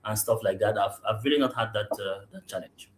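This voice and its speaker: A man's voice: on the low side, fairly quick, slight background noise. A man from Cameroon, a real estate general manager, answering interview questions, often checking "you understand?" and restarting his sentences. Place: remote call into a live podcast. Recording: roomy echo.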